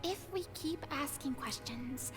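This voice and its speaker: A woman's voice: sits high, animated, a little slow, music in the background. An actress playing an earnest, refined young noblewoman in a video game, a little hesitant. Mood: analytical. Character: earnest noble young woman, aspiring performer.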